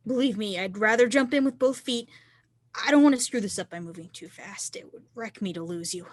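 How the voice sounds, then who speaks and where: A woman's voice: mid-range voice, fairly quick, dry recording, lightly acted. A woman playing a teasing, devoted young semi-pro snowboarder in a screenplay table read. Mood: vulnerable.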